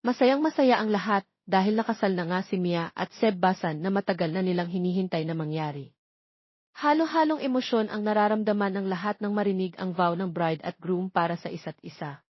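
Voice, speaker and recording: A woman's voice: medium pitch, lively intonation, a little fast, no room sound, lo-fi sound. A woman with a Filipino accent reading scripted narration of a romance story as an audiobook narrator.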